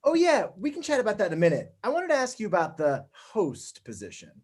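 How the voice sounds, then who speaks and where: Male, actor as smooth-talking network executive, chummy and glib, very animated pitch, comedy table read. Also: fairly quick, full character voice. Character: breezy, slick male TV network boss.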